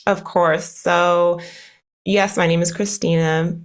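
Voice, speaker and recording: An American woman with a fairly low voice talks as a podcast guest. She speaks casually and enthusiastically, laughing easily as she goes. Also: fairly even intonation, slow pace. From United States. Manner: enthusiastic, lists many topics, laughs easily. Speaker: guest speech therapist. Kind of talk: casual interview talk.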